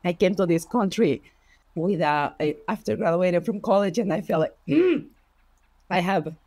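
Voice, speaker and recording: A woman with a Spanish accent, a native Spanish speaker, telling personal stories as a podcast guest in an expressive, heartfelt way. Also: on the low side, dry recording. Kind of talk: personal storytelling in conversation.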